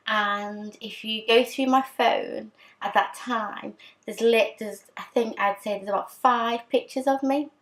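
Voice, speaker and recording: A young woman vlogger chatting candidly to camera, her voice husky from a sore throat, with frequent ums. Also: a little high. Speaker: fashion vlogger. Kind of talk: casual chat to camera.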